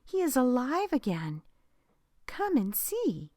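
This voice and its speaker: A woman voicing a kind angel in a children's Bible storybook, a little higher and brighter than her narration, soothing and gentle. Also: huge pitch swings, takes it slowly, no room sound, studio quality, heavily acted.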